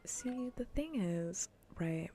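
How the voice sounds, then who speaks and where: A woman livestreamer chatting casually in a soft, breathy near-whisper, rambling bluntly and sardonically with plenty of swearing in an ASMR style. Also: medium pitch, lively intonation, takes it slowly, noisy background. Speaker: livestreamer hosting an ASMR gaming stream.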